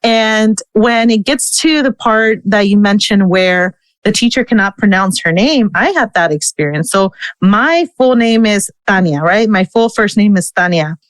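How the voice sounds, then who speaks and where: A woman's voice: medium pitch, animated, measured pace, no echo. Woman telling her story in a dry recording. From United States. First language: Spanish. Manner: candid, personal, uses fillers like "you know" and "right". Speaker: podcast guest. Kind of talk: casual interview storytelling.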